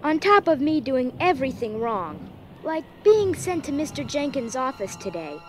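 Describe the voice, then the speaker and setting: A woman's voice: high voice, sing-song, moderate pace. A woman playing a troubled, earnest, self-doubting adolescent girl in an audio drama. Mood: miserable.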